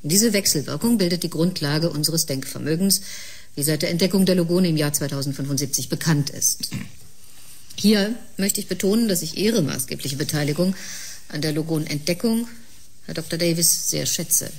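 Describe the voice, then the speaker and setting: A woman playing an assertive, cool scientist in a sci-fi radio play, lecturing in a deliberate, pointed way. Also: low-pitched, a little mellow, a little hiss or hum. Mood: explaining, insistent. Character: assertive female scientist, cool and insistent expert. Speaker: actor in a sci-fi audio drama.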